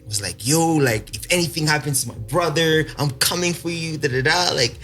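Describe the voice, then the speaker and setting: A man's voice: on the high side, a little fast, soft background music. A young man from Congo with a South African accent, a podcast guest telling his life story in a loose, laughing way full of fillers. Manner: animated, laughing, many fillers. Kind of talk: casual storytelling interview.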